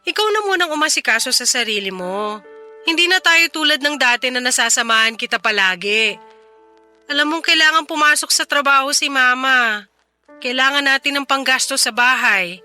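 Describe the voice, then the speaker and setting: A woman's voice: sits high, expressive, normal speed, pushed and bright, faint music behind, full character voice. A woman playing a tired, impatient but caring single mother, weary and scolding in a radio drama. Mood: weary, brisk. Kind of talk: Tagalog radio drama acting.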